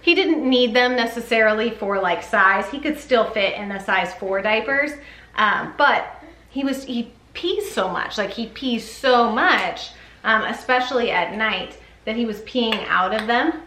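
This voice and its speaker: High-voiced woman chatting in an echoey room. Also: measured pace, pushed and bright. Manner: chatty, often breaks into sing-song baby talk. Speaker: mother giving a baby update vlog. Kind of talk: casual chat to camera. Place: indoor room at home with ceiling fan. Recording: roomy echo, faint background noise.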